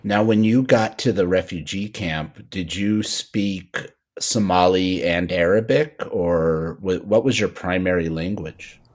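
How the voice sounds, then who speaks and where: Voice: deep voice, takes it slowly, hardly any pauses, darkish tone. A podcast host conducting an interview, curious and asking long, searching questions. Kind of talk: interview conversation.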